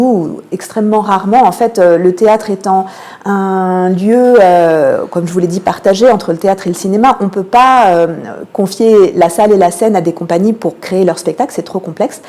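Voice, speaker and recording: Mellow-voiced woman explaining, with frequent hesitations. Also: mid-range voice. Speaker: theatre programming manager, interview guest. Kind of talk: radio interview, explanatory answers.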